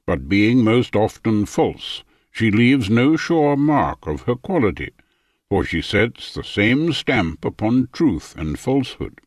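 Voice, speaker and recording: A man narrating a philosophical audiobook in a formal, measured manner. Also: on the low side, sing-song, no room sound, studio quality. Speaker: audiobook reader.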